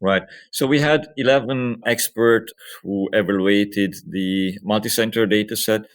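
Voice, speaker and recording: A man being interviewed as a medical researcher, explaining his work methodically, step by step. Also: lowish voice.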